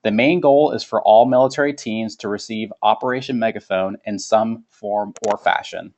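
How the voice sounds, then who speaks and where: A man's voice: on the low side, moderate pace, a little mellow. A man reading a formal scripted webinar presentation as its presenter. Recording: dull lo-fi webinar audio.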